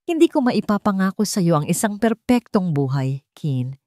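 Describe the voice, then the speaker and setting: A woman's voice: mid-range voice, very animated, normal speed, mellow, no room sound, studio quality, natural voice. A woman voicing an earnest, devoted young businessman making sincere, solemn declarations in a sentimental audio love story. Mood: solemn, pleading.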